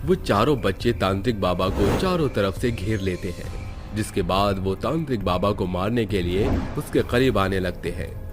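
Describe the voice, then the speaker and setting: A man's voice: lowish voice, lively intonation, moderate pace, music in the background. A man narrating a scripted horror story as a storyteller, in a dramatic style.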